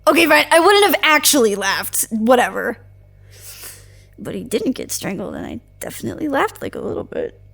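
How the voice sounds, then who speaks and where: An actress playing an awkward, chatty young security agent in an audio drama, blurting and stammering casually. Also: female voice, a little high, wildly swooping pitch, normal speed, vocal fry, fairly bright tone, breathy edge, heavily acted. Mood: teasing, casual. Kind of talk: naturalistic audio drama acting.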